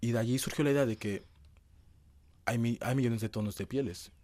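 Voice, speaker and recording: A man's voice: medium pitch, brisk, a little mellow, dry recording. Young man from being interviewed. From Madrid, Spain. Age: young adult. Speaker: interview guest, young dancer. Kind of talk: conversational interview answers.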